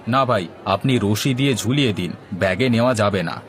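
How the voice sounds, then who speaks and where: A man's voice: fairly low pitch, a little fast, a faint crowd, slight background noise, lightly acted. A man voicing a henpecked village husband in a cartoon, placating and grumbling with dry jibes. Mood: businesslike. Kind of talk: Bangla cartoon voice acting.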